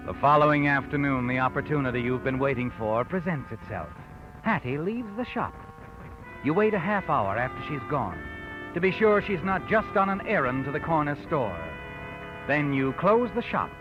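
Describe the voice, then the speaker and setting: Man acting sinister storyteller, insinuating, dramatic, fairly high male voice, old radio mystery, muffled, music underneath. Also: lightly acted. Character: sardonic, knowing, sinister storyteller addressing the guilty woman. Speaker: narrator. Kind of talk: 1940s radio mystery narration, second person. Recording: dull old radio broadcast, music behind.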